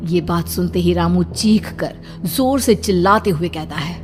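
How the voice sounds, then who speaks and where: A woman's voice: on the low side, normal speed, music in the background. A woman narrating a scripted horror story in a dramatic, suspenseful way.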